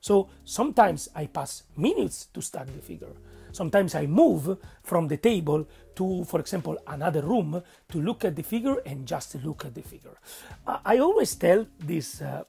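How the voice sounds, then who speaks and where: A man with an Italian accent narrating a painting tutorial, speaking haltingly and searching for words, with frequent checks of "okay?". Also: a little high, creaky, warm tone, husky. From Italy. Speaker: miniature painting instructor.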